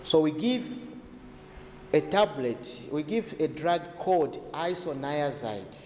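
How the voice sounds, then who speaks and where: In an echoey room with faint noise, a man explains health matters. His voice sits a little high for a man. He teaches by repeating points and posing rhetorical questions. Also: expressive, slow, a little mellow. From Zambia. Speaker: health expert. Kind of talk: explanatory talk to camera.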